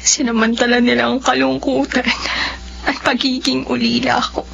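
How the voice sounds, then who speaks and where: An actress playing a gentle young orphaned woman, pleading and tearful in a radio drama. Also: fairly high pitch, little pitch movement, moderate pace, vocal fry, a little hiss or hum. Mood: sad. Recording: dull lo-fi recording with music.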